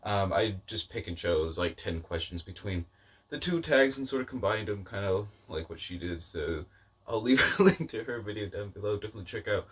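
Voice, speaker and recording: A man's voice: on the low side, lively intonation, brisk, breathy edge, distant mic. A man giving a casual, rambling book-review chat to viewers, informal and self-deprecating in his delivery. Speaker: book vlogger.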